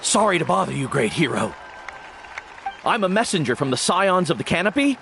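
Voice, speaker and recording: A man voicing an eager, polite messenger in a video game, hurried and admiring. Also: on the high side, very animated, vocal fry, crowd noise, noisy background. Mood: eager, apologetic.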